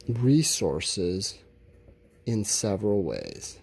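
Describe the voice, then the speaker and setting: Slow-paced male teacher with a husky voice. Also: on the low side, huge pitch swings, a little mellow. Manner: informal, explanatory, drawing out words as he writes. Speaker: elementary school teacher. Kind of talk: instructional lesson, thinking aloud while writing answers.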